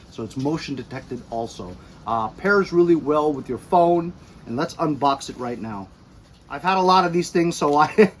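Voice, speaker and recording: A middle-aged American man giving a casual, chatty product review with animated emphasis, recorded by a camera a few feet away in a garage. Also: on the high side, a little hiss or hum. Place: cluttered garage or workshop.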